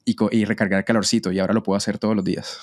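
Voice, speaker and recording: A man in his thirties from Colombia, speaking as a podcast guest. His voice is fairly low, and the sound is dry and close, with no room around it. He talks in a relaxed way, often pausing on fillers and repeating words. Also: dry recording. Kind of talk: conversational interview.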